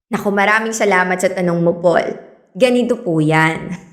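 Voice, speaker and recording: A woman playing a polite, patient fisheries speaker in a radio drama, explaining things in a measured, courteous way. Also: lowish voice, echoey room, natural voice.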